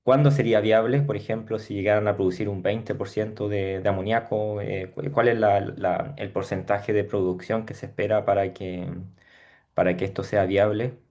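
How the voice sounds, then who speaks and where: A man with a slightly low voice. He speaks as a professor at a research seminar, posing questions tentatively and rephrasing them along the way. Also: steady tone, moderate pace, darkish tone. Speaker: university professor asking questions.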